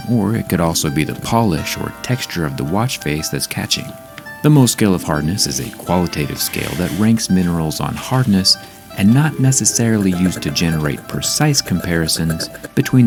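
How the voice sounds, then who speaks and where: Deep-voiced man narrating a tech review. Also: darkish tone. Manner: upbeat, explanatory, product-testing tone. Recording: narration with clear background music. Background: background music.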